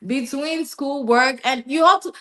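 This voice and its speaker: A young adult woman from Ghana, a master's student answering interview questions in a relaxed, rambling way and often tagging on "yeah" and "basically.". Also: sits high, a little slow. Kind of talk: casual interview chat.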